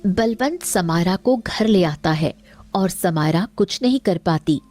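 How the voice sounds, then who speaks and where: A woman's voice: on the low side, animated, a little slow, a little mellow, faint music behind. A woman narrating a scripted cartoon story as its storyteller.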